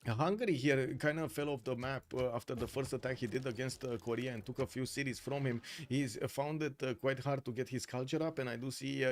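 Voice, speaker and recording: A man casting a strategy game tournament live, chatting casually with viewers and breaking the play-by-play with lots of fillers. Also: medium pitch. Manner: casual, filler-heavy, talks to viewers by name. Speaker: tournament caster and streamer. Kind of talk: live game commentary while reading chat.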